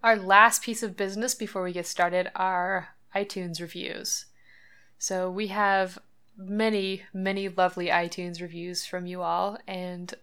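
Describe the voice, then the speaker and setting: A woman's voice: fairly low pitch, fairly bright tone, smooth. A woman hosting a podcast, talking in an earnest, chatty way with light laughs. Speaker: podcast host. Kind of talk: scripted podcast monologue.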